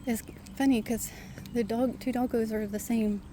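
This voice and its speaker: A soft-spoken woman with a quiet voice, chatting casually to her viewers while walking outdoors in a park. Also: a little high, fairly even intonation, normal speed, slight background noise. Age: adult with a son. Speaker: vlogger. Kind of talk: casual walking vlog chat.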